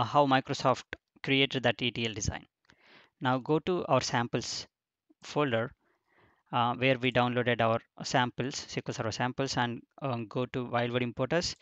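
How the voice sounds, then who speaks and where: A man's voice: medium pitch, fairly bright tone, dry recording. Guy explaining a tutorial step by step, lots of uhs, dry dull desk mic. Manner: explains step by step with frequent fillers and restarts. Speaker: instructor. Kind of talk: screen-recorded software tutorial.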